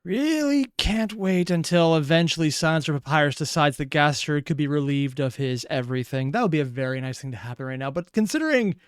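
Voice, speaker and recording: A man's voice: a little high, clean tone, no room sound, studio quality. A man giving casual, off-the-cuff reaction commentary as a video host, with wry, analytical asides.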